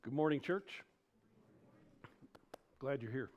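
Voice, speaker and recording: A slightly dull-sounding recording of a man with a mid-pitched voice. He is a pastor addressing his church informally, in an off-the-cuff way. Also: slow pace, a little mellow, slight background noise. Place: church service.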